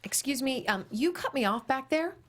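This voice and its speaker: A woman's voice: high-pitched, animated, normal speed, fairly bright tone, voice pushed up. A woman reading the part of a prim, earnest conservative mother, polite and self-righteous. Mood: prim indignation.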